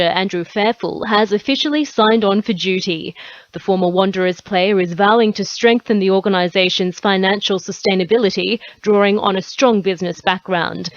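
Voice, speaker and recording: A woman's voice: mid-range voice, no echo. An Australian woman presenting a scripted sports report on radio. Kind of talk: scripted sports news reading. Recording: dry, slightly dull radio studio audio.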